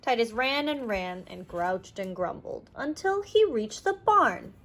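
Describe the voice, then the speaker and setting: A woman reading a children's storybook aloud in an animated narrating style. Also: fairly high pitch, unhurried. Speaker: children's audiobook reader.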